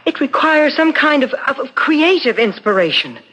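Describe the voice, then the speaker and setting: An actress playing an earnest, suspicious wife in a 1970s radio drama, probing and insistent. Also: female voice, high voice, big pitch swings, moderate pace, a bit bright, lo-fi sound. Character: earnest, suspicious wife urging on an amateur investigation. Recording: 1970s radio broadcast, faint noise.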